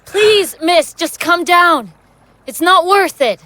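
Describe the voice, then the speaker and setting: High-voiced actress as earnest female investigator. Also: lively intonation, slow, pushed and bright, no room sound, exaggerated voice. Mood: pleading. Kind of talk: audio drama acting.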